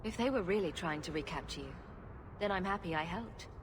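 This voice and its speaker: An actress playing an unflappable, sarcastic young adventurer in a video game, with a dry, sardonic delivery. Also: female voice, fairly low pitch, animated, a little fast, noisy background, lightly acted. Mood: curious.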